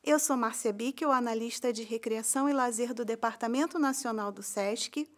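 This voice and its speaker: A woman's voice: a little high, moderate pace. A woman in a recreation role giving a scripted, friendly introduction and speaking directly to the viewer. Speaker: recreation analyst presenting a video. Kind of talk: scripted presentation.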